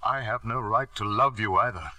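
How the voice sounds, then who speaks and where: An actor playing a principled, quietly disapproving middle-aged doctor in 1950s radio drama, measured and pointed in his delivery. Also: on the low side, no echo. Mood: intense.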